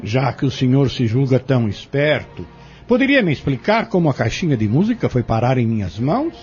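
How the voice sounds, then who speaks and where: A man's voice: mid-range voice, sing-song, a little fast, a little mellow, close to an everyday voice. A man playing a haughty accused man on trial in an audio drama, speaking formally and with indignation. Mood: defiant. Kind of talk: audio drama acting, courtroom scene. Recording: dull lo-fi audio with music underneath.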